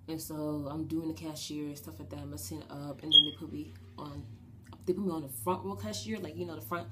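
A young woman from New Jersey with a raspy, slightly shaky voice, rambling through a casual storytime vlog with lots of fillers. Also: deep voice, heavy background noise. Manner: rambling and animated, with many fillers and quick gestures. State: shaky voice after a breathing treatment. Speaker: vlogger. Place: small bedroom, indoors. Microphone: webcam at desk distance.

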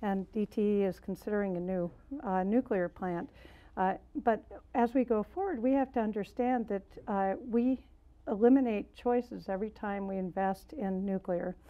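A woman's voice: mid-range voice, normal speed. A woman in her sixties speaking unscripted as an expert on a studio panel, in slightly muffled sound. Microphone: lapel mic.

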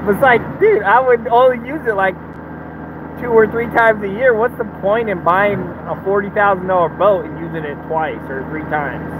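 A man's voice: high voice, moderate pace, phone-quality sound. A man in his thirties vlogging casually from inside his truck cab, talking in a loose, rambling, laid-back way into a phone held at arm's length. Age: 30s. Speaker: truck business owner vlogger. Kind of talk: casual talking-head vlog. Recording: phone audio with engine noise.

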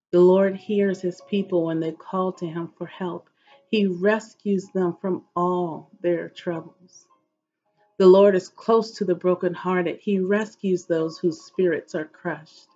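Middle-aged female devotional reader, slow and solemn, husky tone, dull home webcam sound. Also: lowish voice, mellow. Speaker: host of an inspirational reading series. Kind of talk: devotional scripture reading aloud. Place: living room at home, indoors.

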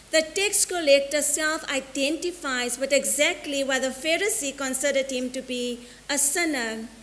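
A middle-aged woman priest from South Africa delivering a measured, deliberate sermon from the altar, slowing down for quotations. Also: high-pitched, fairly bright tone. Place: church sanctuary in front of an altar. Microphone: stand mic at the altar, filmed by a fixed camera. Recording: long church reverb with faint hiss.

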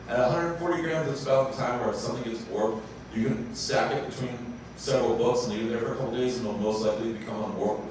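A young man who owns a vinyl pressing plant, casually explaining to a live audience with lots of fillers and self-corrections, into a handheld mic in a brick bar. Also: medium pitch. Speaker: vinyl pressing plant owner speaking at a science cafe. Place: large brick-walled bar room. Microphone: handheld mic, filmed from far off. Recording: echoey room, far camera, background noise.

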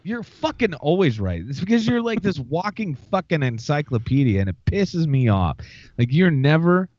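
A man's voice: a little high, sing-song, normal speed, a little mellow, no room sound. A man hosting a casual podcast who swears freely and laughs a lot. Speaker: podcast host. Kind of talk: casual chat. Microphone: headset mic. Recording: dry, dull lo-fi call audio.

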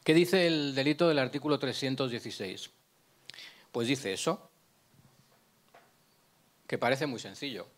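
A middle-aged man speaks into a handheld microphone in a conference room, lecturing at an ordinary pitch. He explains patiently, poses questions and answers them himself, often restarting a phrase before finishing it. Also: a little slow, pauses often. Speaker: prosecutor giving a conference talk. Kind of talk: lecture with slides.

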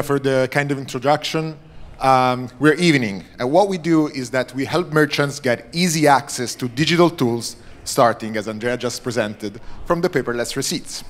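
A man in his thirties, a startup founder, giving a plain, brisk pitch on a conference stage into a handheld mic.

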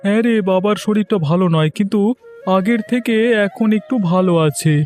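A man's voice: high-pitched, brisk, darkish tone, soft background music, lightly acted. A man voicing a chummy, inquisitive young village friend in a cartoon, talking familiarly and teasingly. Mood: chummy, sympathetic. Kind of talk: cartoon dialogue, moral story.

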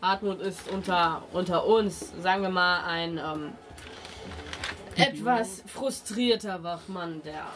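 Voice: medium pitch, slow pace, a bit bright, a little hiss or hum. A game master playing an obliging village innkeeper who gossips in a leisurely, confiding way. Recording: music behind the voice.

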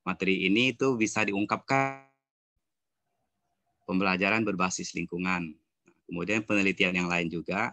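A man in his fifties giving a slide presentation over a video call, halting and repeating phrases. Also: low-pitched, fairly even intonation, sharp tone, faint music behind. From West Kalimantan, Indonesia. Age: 50s. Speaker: student presenter. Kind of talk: slide presentation at an online seminar.

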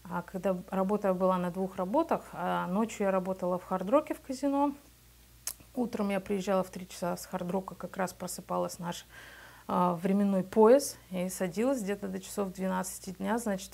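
A woman's voice: medium pitch, brisk, creaky, a little mellow. A woman in her thirties from Belarus, a business owner telling her story in a documentary interview in a small office, speaking matter-of-factly into a lapel mic. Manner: matter-of-fact, conversational.

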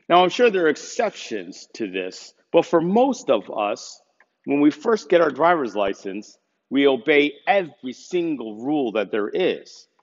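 A man, a pastor, preaching a measured and earnest sermon from a lectern in a small chapel. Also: mid-range voice, long reverb tail, lo-fi sound.

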